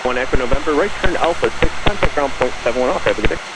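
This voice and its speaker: A man speaks quickly as a tower controller, rattling off clipped clearances at a middle pitch. His voice comes through an aviation radio with loud noise all around it. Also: animated. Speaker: air traffic tower controller. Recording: very noisy air traffic radio.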